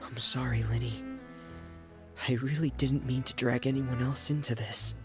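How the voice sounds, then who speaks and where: A man voicing a quiet, reserved young man in a video game who speaks softly, hesitantly and earnestly. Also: medium pitch, steady tone, normal speed, music in the background, lightly acted. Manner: soft-spoken, hesitant and earnest.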